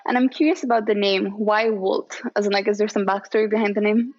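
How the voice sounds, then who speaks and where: A woman hosts an interview and asks polite, curious questions at a brisk pace. Her recording is dry, with no room in it. The sound is slightly dull and lo-fi. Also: medium pitch, fairly even intonation, no echo.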